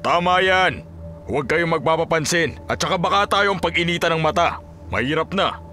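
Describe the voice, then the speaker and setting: A man plays a greedy, commanding crime boss in a Filipino audio drama. The voice is hard, bright and slightly high, with curt orders over soft music. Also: animated, fairly quick. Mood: stern, scheming. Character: stern, greedy drug-dealing crime boss.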